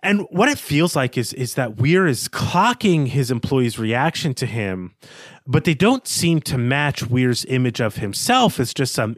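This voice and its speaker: A male podcast co-host with a mid-pitched voice that moves around in lively swings. He explains his reading of a book in a thoughtful, conversational manner, recorded dry with no room sound. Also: no echo. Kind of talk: literary discussion, conversational analysis.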